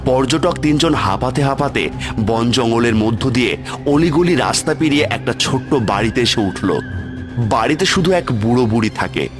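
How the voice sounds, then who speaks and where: Man, storyteller, scripted horror narration, middle pitch, loud background music, cartoon tale. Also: expressive, moderate pace. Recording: loud music with cricket sounds behind.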